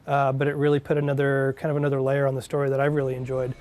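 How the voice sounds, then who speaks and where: A man's voice: mid-range voice, monotone, racing, darkish tone. A man giving a behind-the-scenes interview as a writer, thoughtful and hesitant as he explains his work. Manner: hesitant, thoughtful, frequent uhs.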